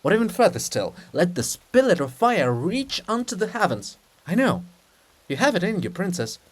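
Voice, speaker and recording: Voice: mid-range voice, big pitch swings. A reader as a rhapsodic holy male poet. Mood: rapturous exhorting. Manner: grandiose, rhapsodic, drawn-out. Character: ecstatic, mocking male holy poet statue, grandiose. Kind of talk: declamatory dramatic reading.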